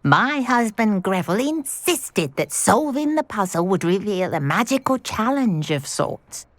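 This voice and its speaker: A genteel older village widow from a fantasy game, chatty and wordy. Her pitch moves expressively through formal phrases in a close, dry recording. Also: big pitch swings, unhurried, no room sound. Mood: conspiratorial, eager. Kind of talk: video game dialogue acting.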